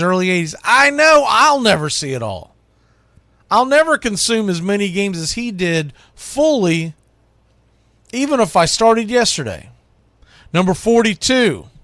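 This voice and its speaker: A man's voice: high voice, no room sound. A middle-aged man hosting a sports talk monologue, speaking in an emphatic, folksy, conversational way like a play-by-play announcer working through a list. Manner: emphatic, announces each item loudly then drops into quieter musing. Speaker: radio host and baseball play-by-play announcer.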